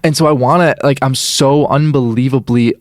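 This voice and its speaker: A man's voice: mid-range voice, fairly even intonation, normal speed, no echo, clean studio sound. A young man from Illinois, a musician being interviewed, talking casually and earnestly with lots of "like" and restarts. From Illinois, USA. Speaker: musician guest.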